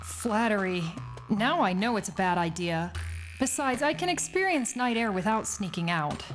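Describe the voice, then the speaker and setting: A woman playing a cautious, dry-witted teenage Sicilian girl in a radio play, sceptical and expressive. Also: medium pitch, moderate pace, soft background music, close to an everyday voice. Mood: wary, tempted. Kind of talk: dramatic radio play acting.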